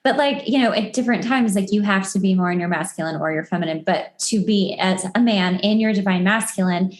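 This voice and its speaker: A woman's voice: mid-range voice, fairly quick, reverberant. A woman hosting a podcast in a casual conversational style, enthusiastic and emphatic. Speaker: podcast host.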